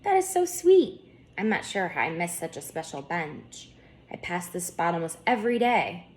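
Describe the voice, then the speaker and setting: A woman voicing an excitable, curious young schoolgirl in a storybook, eager and earnest. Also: on the low side, moderate pace. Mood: admiring. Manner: eager, wide-eyed, earnest.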